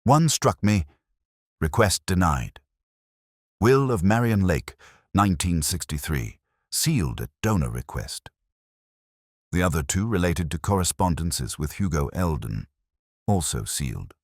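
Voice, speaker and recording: Very deep, husky detective played by a man. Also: sing-song, no room sound, clean studio sound, exaggerated voice. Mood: brooding, reflective. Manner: measured, literary, melancholy. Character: brooding, world-weary older male English detective, narrating his case. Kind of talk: scripted first-person mystery narration.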